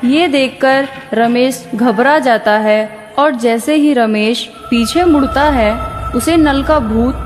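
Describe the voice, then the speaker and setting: A woman's voice: sits high, slow pace. A woman narrating a scripted horror story as its storyteller. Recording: long reverb with faint music. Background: faint background music.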